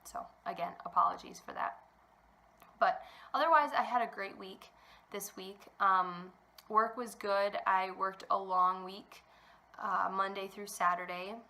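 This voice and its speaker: A young woman with a bright, clear-toned voice talks casually to her audience, wandering from thought to thought with frequent ums. She sounds slightly stuffed up, still getting over a cold. She is at home. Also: mid-range voice, fairly even intonation, unhurried. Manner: rambling, chatty, many ums. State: congested from a cold. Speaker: knitting podcast host. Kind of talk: casual chat to camera. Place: at home indoors.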